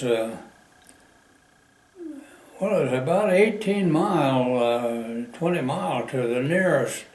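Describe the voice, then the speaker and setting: A man of ninety-nine from the mountains of southwestern Virginia, recalling his life in a halting way with many pauses and fillers. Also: mid-range voice, a little mellow, roomy sound, low-quality recording. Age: 90s. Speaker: interviewee. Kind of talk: oral history interview.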